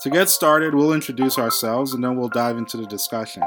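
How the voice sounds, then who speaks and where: A man's voice: mid-range voice, a little fast, over music. A young man from Brooklyn co-hosting a podcast, upbeat as he reads a prepared outline. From Brooklyn, New York. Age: young adult. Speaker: podcast co-host. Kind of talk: scripted podcast introduction.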